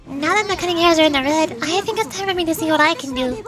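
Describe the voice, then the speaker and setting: A woman's voice: sits high, fast talker, natural voice. A woman voicing a perky, scheming young cat girl mercenary, chatty and cajoling, reading game dialogue. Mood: earnest. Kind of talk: video game dialogue read aloud. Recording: loud music behind the voice. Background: game music.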